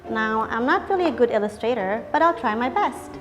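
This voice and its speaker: Woman in her 30s talking thru her life story, kinda high voice, long echo, music playing under. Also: animated, brisk. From Indonesia. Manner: chatty, reflective, many fillers like "so" and "like". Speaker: vlogger. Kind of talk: scripted life-story narration. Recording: long reverb with music behind.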